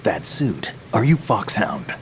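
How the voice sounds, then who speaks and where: A man's voice: on the low side, very animated, takes it slowly, a little hiss or hum. An actor playing a terse, sardonic, world-weary male soldier and cynical mentor in a video game cutscene. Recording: music behind the voice.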